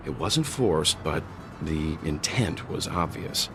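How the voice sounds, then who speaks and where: An actor playing a grave, methodical mature man in a video game story, speaking seriously and with deliberate reserve. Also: extremely low pitch, expressive, creaky, background noise, full character voice. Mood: grave, guarded. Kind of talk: scripted game story dialogue. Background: background music.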